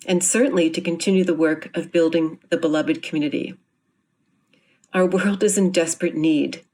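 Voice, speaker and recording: A woman giving a prepared, formal speech with earnest, measured phrasing. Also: fairly low pitch, a little mellow. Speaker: speaker introducing a university theatre group.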